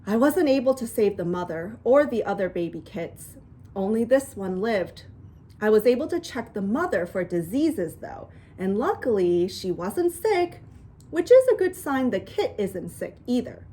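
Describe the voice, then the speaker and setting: A woman voicing a calm, patient, gently firm mother who explains things soothingly in a storybook reading. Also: medium pitch, measured pace. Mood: gentle, regretful.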